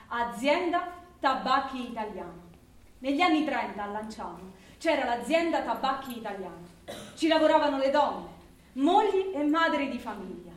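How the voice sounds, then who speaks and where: A woman playing a proud, feisty working woman with an Abruzzese accent, telling her story in a colloquial theatrical monologue. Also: high voice. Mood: narrating. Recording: roomy, distant microphone.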